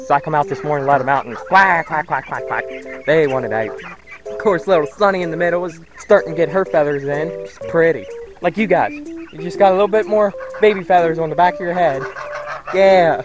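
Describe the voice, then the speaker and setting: A man's voice: a little high, fairly quick, music in the background. A man, giving a rambling, folksy walk-and-talk tour of his backyard farm on a camera mic, outdoors. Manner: rambling, folksy, thinks aloud. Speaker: homesteading vlogger. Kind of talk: casual walk-and-talk vlog.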